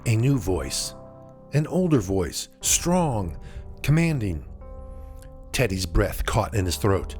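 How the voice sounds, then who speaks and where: A man narrates a suspenseful tale in a lively, varied delivery. He pauses deliberately for drama, and music plays softly underneath. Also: lowish voice. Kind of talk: scripted suspense story narration.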